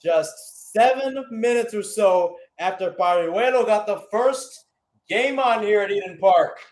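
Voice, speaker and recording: A man's voice: sits high, unhurried, a little mellow. A man giving live radio-style play-by-play commentary on a football match, with exclamatory phrasing and rhetorical questions.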